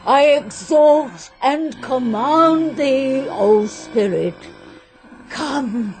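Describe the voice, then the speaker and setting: A woman's voice: high voice, animated, drawn-out words, darkish tone, full character voice. A woman playing a commanding witch who chants spells in a solemn ritual manner, in a vintage radio drama. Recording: noisy old radio recording.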